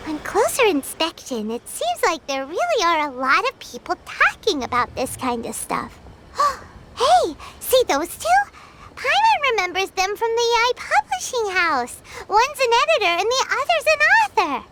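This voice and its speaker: A woman voicing a tiny, excitable, childlike fairy companion in a video game. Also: very high voice, a little slow, heavily acted. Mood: curious. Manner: refers to self in third person, exclaiming. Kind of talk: English video game voice acting. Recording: noisy game capture with loud background sound.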